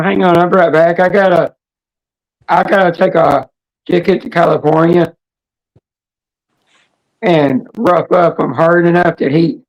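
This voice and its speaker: A woman with a hoarse, raspy voice hosting a casual livestream chat in a rambling, halting, blunt way. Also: on the low side, darkish tone, dull audio. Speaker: livestream host.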